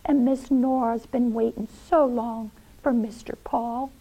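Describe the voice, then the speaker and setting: A woman's voice: a little high, steady tone, a little slow, dark tone, poor audio. A woman voicing an earnest young boy who pleads with his dragon friend, in a children's story. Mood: earnest persuading.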